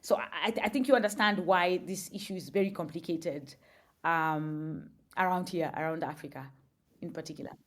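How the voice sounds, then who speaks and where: A woman's voice: low voice, normal speed. A woman, a guest on a podcast interview, explaining thoughtfully with examples and frequent fillers. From Kenya.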